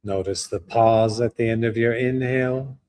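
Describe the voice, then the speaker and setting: A man guiding a breathing meditation, speaking slowly and calmly with long pauses. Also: mid-range voice. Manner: slow, calm, with long pauses. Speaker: meditation guide.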